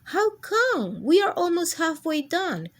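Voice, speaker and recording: A woman voicing an excitable, worried crayon character from a picture book, urgent and dramatic. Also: very high voice, slow pace, voice pushed up, heavily acted. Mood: indignant.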